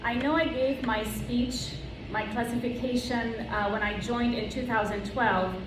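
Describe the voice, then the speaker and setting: Slow, earnest woman in her fifties. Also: a little high, fairly even intonation. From Lebanon. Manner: slow, earnest, read from notes. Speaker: club member giving a talk. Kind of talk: prepared personal speech. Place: club meeting room. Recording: roomy room from far off, with background noise.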